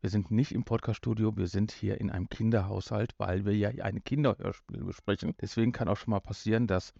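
A man's voice: lowish voice, creaky, warm tone. A man in his fifties from North Rhine-Westphalia hosting a casual podcast in a rambling, jokey way. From North Rhine-Westphalia, Germany. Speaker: podcast host. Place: room in a family home. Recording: dull, roomy home recording.

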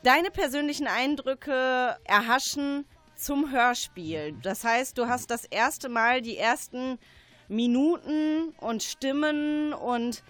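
A woman's voice: high-pitched, slow, a bit bright, no room sound, soft background music. A woman hosting a radio interview in a conversational style.

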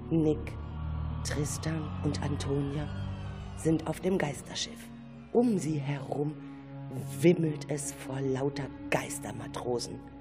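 A man narrating a children's radio play in a dramatic, suspenseful way, with exclamations. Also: fairly high pitch. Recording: music playing behind the voice. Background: music.

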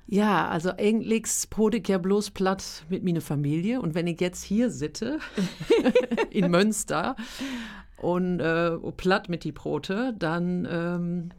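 A woman with an Emsland Low German accent, chatting as a guest in a radio studio interview, laughing easily and filling pauses. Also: a little mellow. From Emsland, near Papenburg. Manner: chatty, laughs often, many fillers. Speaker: guest, amateur stage actress and singer.

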